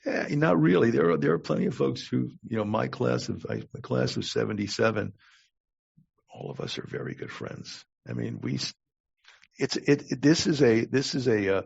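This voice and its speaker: A man in his sixties with a gravelly voice, telling stories casually as a podcast guest in a halting, wry way with repeated words. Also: mid-range voice, no room sound. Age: 60s. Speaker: podcast guest, longtime lifeguard. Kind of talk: casual interview storytelling. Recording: dull, lo-fi close recording.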